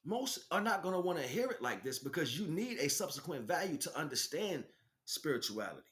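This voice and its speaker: A man's voice: a little high, very animated, brisk, fairly bright tone. A man giving an unscripted spiritual teaching as a livestream host, speaking emphatically and repeating phrases for stress. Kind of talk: unscripted spiritual monologue, preaching.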